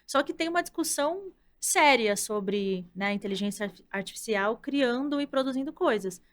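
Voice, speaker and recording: A thoughtful Brazilian woman in her thirties talks through her ideas as a guest, steadily and in a conversational way. Her voice sits a little high, and she often checks in with "né?". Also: animated, normal speed. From Brazil, from the countryside. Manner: thoughtful, explanatory, frequent "né?". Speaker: content director, guest. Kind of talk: casual interview conversation.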